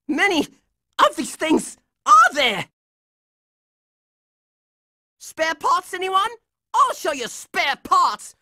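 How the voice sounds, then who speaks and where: Scrappy hot-headed boy hero for cartoon game: brash, forceful, lively swings in pitch. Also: no echo, studio quality, exaggerated voice. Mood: defiant. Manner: brash, slangy, combative. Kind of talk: animated cartoon video game acting.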